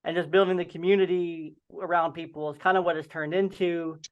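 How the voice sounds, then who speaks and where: A young man talks in a loose, rambling way and leans on lots of fillers, with a slight lisp and the odd stutter. His voice sits a little high and comes through smooth and resonant. The video-call audio sounds dull and lo-fi. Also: little pitch movement, brisk. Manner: rambling, filler-heavy storytelling. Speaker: podcast host and guest. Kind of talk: casual video-call interview.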